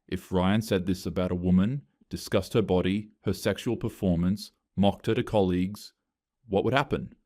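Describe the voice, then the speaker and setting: A man voicing a calm, pointed male coworker confronting an HR manager. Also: low-pitched, moderate pace, lightly acted. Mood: cold, pointed.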